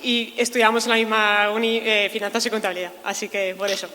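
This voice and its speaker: A woman's voice: mid-range voice, steady tone, fast talker, pushed and bright. A woman in her thirties from Argentina, a panel guest who talks hesitantly with many fillers. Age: 30s. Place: church hall. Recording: long hall reverb.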